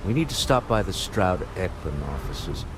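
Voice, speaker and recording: A man's voice: deep voice, sing-song, normal speed. A wry, worldly businessman. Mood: wry musing. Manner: musing, dryly ironic. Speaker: game companion character. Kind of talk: video game voice acting. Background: game music.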